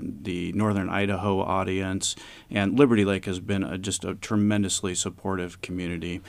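Male theater director, low gravelly voice, conversational interview answers, dry sound. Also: no room sound.

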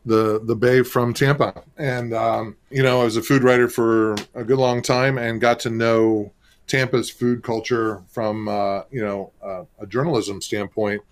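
A man from Florida, a restaurant marketing executive and co-author, talking in a relaxed, chatty way with lots of fillers. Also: mid-range voice, a little slow. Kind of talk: radio interview guest.

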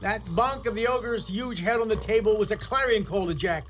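A man's voice: sits high, moderate pace, a bit bright, a little hiss or hum. A man narrating a scripted radio play as storyteller, in a theatrical and wry manner.

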